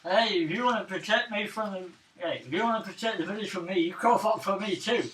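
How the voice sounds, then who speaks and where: A man's voice: high voice, fast talker, a bit bright. A man bantering cheekily as a player in a tabletop game, on a roomy, distant recording. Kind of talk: casual bantering chat. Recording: roomy, distant mic, faint noise.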